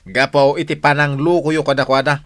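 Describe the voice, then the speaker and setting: An actor playing a stubborn, blunt village customer in an Ilocano radio comedy-drama. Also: male voice, on the high side, flat delivery, a little fast, slight background noise, natural voice. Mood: accusing. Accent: Filipino, Ilocano.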